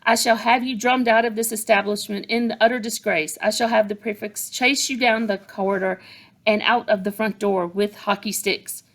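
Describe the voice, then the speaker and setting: Woman reading as a giant bully headmistress, loud and barking, gravelly and mean. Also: mid-range voice, moderate pace, close to an everyday voice. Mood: raging. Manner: barking, scornful tirades. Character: huge bullying female headmistress, cruel and bellowing.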